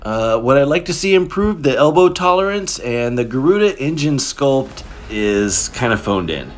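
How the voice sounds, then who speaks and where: A man's voice: medium pitch, very animated, normal speed, faint music behind. A man hosting a casual toy review in a playful, informal, slangy way, commenting as he handles figures.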